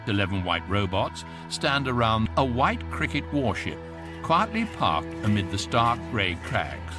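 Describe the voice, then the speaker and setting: A man's voice: lowish voice, very animated, a little slow, music in the background. A British man narrating a radio comedy as an urbane, wry galactic guide, with dry storytelling. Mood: wry, grand.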